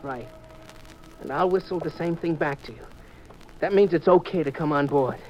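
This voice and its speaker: A man's voice: fairly high pitch, normal speed, background noise, close to an everyday voice. An actor playing an eager, nervous seaman in a 1950s radio drama, earnest and hesitant, on an old dull recording. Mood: earnest, urgent. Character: eager, nervous male seaman helping detectives.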